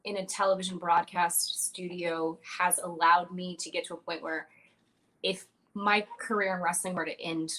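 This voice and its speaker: A woman's voice: fairly low pitch, flat delivery, normal speed, bright, forceful tone, low-quality recording. A young woman, a wrestler and former radio broadcaster, answering interview questions in a confident, chatty way with frequent filler words.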